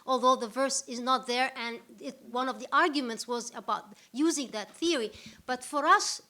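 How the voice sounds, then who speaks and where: High-voiced woman panellist, halting. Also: pushed and bright. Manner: halting, many restarts. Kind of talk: seminar discussion.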